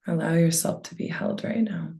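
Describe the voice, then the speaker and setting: A woman leading a guided meditation with gentle, unhurried phrasing and a soft, soothing delivery. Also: fairly low pitch, vocal fry.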